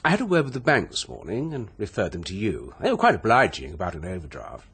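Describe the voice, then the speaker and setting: Radio-drama spendthrift, English accent, low pitch, swooping intonation, casual, breezy, evasive. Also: male voice, wildly swooping pitch, fast, a bit bright, husky, heavily acted. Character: breezy, shifty, money-hungry English nephew who gambles. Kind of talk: radio mystery drama acting.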